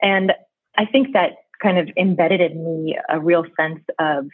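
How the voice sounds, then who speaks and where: A woman's voice: sits low, a little slow, no room sound. A woman in her thirties from Brooklyn with an American accent, a guest in a conversational interview, talking thoughtfully and laughing easily. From New York City, Brooklyn. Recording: tinny phone-like call line.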